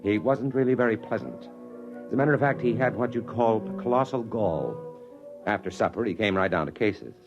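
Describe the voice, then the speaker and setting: A man's voice: fairly low pitch, brisk, lo-fi sound, music in the background, natural voice. An actor playing a brash, stubborn newspaperman and father in a 1950s radio drama. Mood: wry narration. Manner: brash, emphatic, wry when narrating. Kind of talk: 1950s radio drama acting and first-person narration. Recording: vintage 1950s radio recording.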